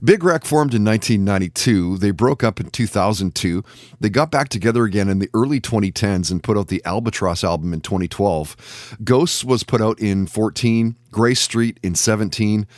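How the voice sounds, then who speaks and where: Podcast host, male. Lower register, dry studio sound, presenting segments and sponsor reads. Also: animated, normal speed, darkish tone, no room sound. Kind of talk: podcast hosting with sponsor reads and guest introductions.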